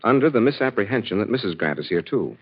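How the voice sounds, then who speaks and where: A brisk gentleman detective, low voice. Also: very animated, slightly rough. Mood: calm, assured. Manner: assured, authoritative, explanatory. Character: confident, brisk gentleman detective explaining his plans. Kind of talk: 1940s radio acting. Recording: dull, noisy old radio recording.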